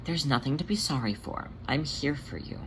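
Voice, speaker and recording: A gentle, motherly she-cat from an audio story , as a narrator puts her on. The delivery is soothing, measured and reassuring. Clear background noise sits behind the voice. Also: mid-range voice, very animated, close to an everyday voice. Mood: tender. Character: Jelly, gentle reassuring older female cat, motherly caregiver.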